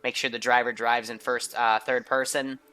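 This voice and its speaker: A man's voice: medium pitch, level tone, moderate pace, pushed and bright, clean tone. A man giving a blunt, sweary, sardonic mission briefing as commander in an online military game.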